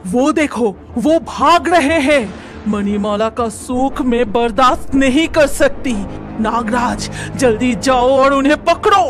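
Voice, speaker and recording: A woman's voice: high voice, slow pace. A woman playing an imperious, scornful underwater queen in a cartoon, commanding and scolding, with music behind her. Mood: commanding, alarmed. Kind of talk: Hindi cartoon story acting.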